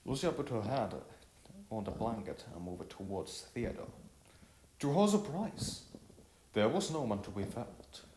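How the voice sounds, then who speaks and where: A man's voice: medium pitch, lively intonation, a little slow, a little mellow, a little hiss or hum. A man narrating a story as an amateur reader, stumbling over phrases now and then and rereading them. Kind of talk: amateur narration of an audiovisual novel. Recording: roomy echo, faint music behind.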